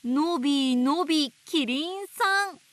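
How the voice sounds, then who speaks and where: A woman playing a gentle, motherly owl teacher who reads picture books to toddlers with playful sound words. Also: high voice, slow pace. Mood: playful storytelling. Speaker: picture book storyteller for babies. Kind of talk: picture book read-aloud for toddlers. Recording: faint background noise of nature. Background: nature sounds.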